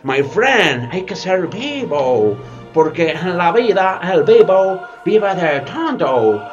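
A man's voice: sits high, big pitch swings, takes it slowly, muffled recording, exaggerated voice. A man playing a boastful, greedy sea captain and slave trader in a radio play, theatrical and stammering. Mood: self-satisfied. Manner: theatrical, stammering, peppers speech with English words. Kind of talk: community radio theatre acting. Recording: music bed behind the voice.

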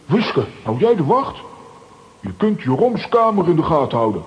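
An actor playing an eager, self-assured cartoon adventurer with a theatrical delivery in a children's radio play. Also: male voice, on the high side, sing-song, normal speed, dull audio. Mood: conspiratorial.

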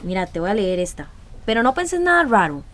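An actress playing a shy, guarded young poet in a radio drama, with a Costa Rican accent and a hesitant, sincere delivery. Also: female voice, medium pitch, very animated, brisk, a little hiss or hum, close to an everyday voice. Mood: solemn, intimate. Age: young adult. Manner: hesitant, self-deprecating.

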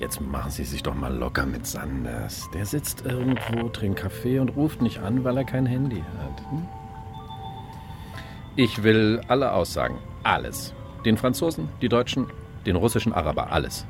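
An actor playing a smug, calculating official, condescending and wry, in a radio crime drama. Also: male voice, fairly low pitch, big pitch swings, moderate pace, music in the background. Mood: calculating, self-satisfied. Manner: smooth-talking, condescending, wry. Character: smug, calculating male official, cool and ambitious.

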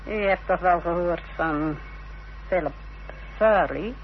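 An actress playing a proud, defiant middle-aged mother in a radio drama, speaking in a haughty, theatrical, deliberate way. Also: on the low side. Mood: confiding, proud. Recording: dull, noisy old radio recording.

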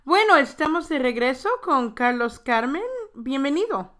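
A woman's voice: sits high, animated, a little slow, sharp tone, faint music behind. A woman hosting a casual radio interview, hesitant and fumbling a little as she phrases things. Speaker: radio host.

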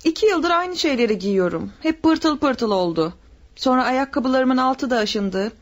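An actress playing Maria, a devoted mountain wife, in a classic radio play. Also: female voice, sits high, expressive, measured pace. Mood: homely. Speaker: radio drama actor.

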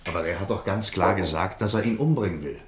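An actor playing an anxious, conscientious young man in a radio play, pleading urgently and haltingly, but politely. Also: low-pitched, echoey room, a crowd in the background, natural voice. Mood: agitated, pleading. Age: young adult. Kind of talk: German radio play acting.

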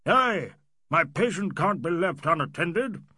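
A man acts out a gruff British army major in an audiobook. He growls with brusque impatience and bluster. The voice is husky and forceful. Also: on the high side, expressive, unhurried, no echo, studio quality, pitched above the performer's own voice, full character voice. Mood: indignant.

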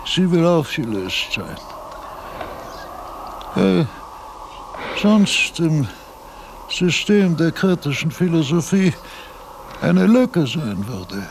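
An actor playing a frail aged philosopher, laboriously dictating abstract thoughts in broken phrases with long pauses, in a radio drama. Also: male voice, a little high, big pitch swings, slight background noise, full character voice. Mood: laboured, insistent. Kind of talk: slow, halting radio-drama monologue.

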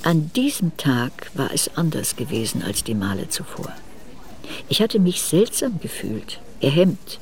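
A woman reading scripted literary narration for a radio play in a measured, reflective way. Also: low-pitched, very animated, darkish tone.

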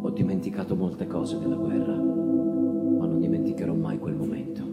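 A low-voiced man acting as a wistful First World War officer recites his memories of the trenches like literature on a stage. He stretches words and lets his emphasis swell and fade, in a strongly echoing sound over prominent guitar and percussion music. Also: animated, muffled recording. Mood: grave, mournful. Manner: theatrical, with drawn-out words and swelling and fading emphasis. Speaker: actor, reciting voice.

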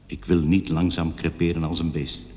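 A male actor voices a bitter, doomed middle-aged man reading out his last confession in a radio play. The voice is extremely deep and grim, and it hangs in a long, hall-like reverb. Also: little pitch movement, moderate pace, a little mellow, slight background noise, natural voice. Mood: bitter, resigned. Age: 50s. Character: bitter, doomed man of fifty-two, voicing suicide letter. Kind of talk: Dutch radio play monologue.

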